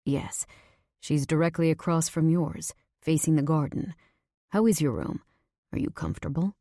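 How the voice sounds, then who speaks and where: A woman narrator acts out a brooding, protective man from a romance audiobook. The voice is low and mellow, serious and terse, giving orders with controlled intensity. The recording is close and dry. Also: normal speed, no echo. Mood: matter-of-fact.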